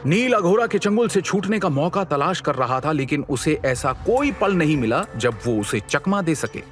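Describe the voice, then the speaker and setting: Animated male audio drama narrator, higher pitch. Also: normal speed, soft background music. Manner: expressive, suspenseful storytelling. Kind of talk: scripted dramatic story narration.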